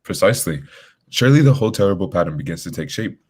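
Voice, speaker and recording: A man playing a commanding, self-assured detective in an old-time radio drama, speaking formally and deliberately. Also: sits low, very animated, darkish tone.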